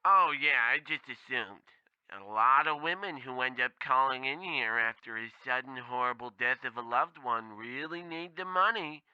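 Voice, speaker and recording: A man's voice: medium pitch, normal speed, sharp tone, smooth, no echo, dull audio. A man playing a blithely tactless temp agency clerk who rambles and bumbles in a comedy radio play. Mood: bluntly discouraging. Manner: rambling, bumbling, bluntly insensitive.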